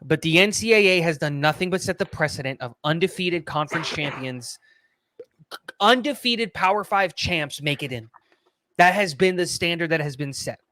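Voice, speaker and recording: A man's voice: fairly high pitch, expressive, moderate pace, sharp tone, a little hiss or hum. A man co-hosting a sports podcast, giving firm, opinionated takes in casual talk. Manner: opinionated, argues points firmly. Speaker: podcast co-host.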